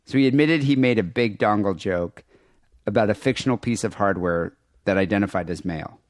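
Loud, nasal man co-hosting a podcast. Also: lowish voice, brisk, no room sound, clean studio sound. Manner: argumentative, rambling with many restarts. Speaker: podcast co-host. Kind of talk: casual chat.

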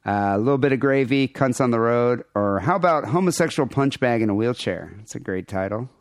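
A man's voice: medium pitch, lively intonation, fairly quick, no room sound, clean studio sound. A man co-hosting a podcast, rambling casually with crude, irreverent jokes and show plugs. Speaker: podcast co-host.

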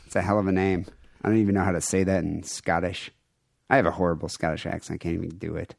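A man's voice: deep voice, flat delivery, quick pace, creaky, no room sound, clean studio sound. A man co-hosting a podcast, rambling casually with crude, irreverent jokes and show plugs. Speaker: podcast co-host.

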